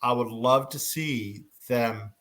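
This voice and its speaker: A man moderating an informal fan panel as its host, speaking in halting, hesitant phrases. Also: mid-range voice, fairly bright tone.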